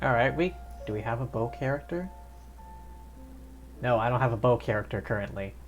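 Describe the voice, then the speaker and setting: Casual streamer, thinking aloud, mid-pitched voice, faint background music. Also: animated, fairly quick, muffled recording. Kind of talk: casual live gameplay commentary.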